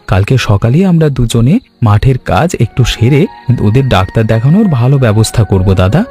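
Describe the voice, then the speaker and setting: Man acting a worried village farmer, medium-pitched. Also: expressive, normal speed, dark tone, soft background music, natural voice. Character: concerned, caring village farmer husband. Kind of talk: scripted animated story dialogue.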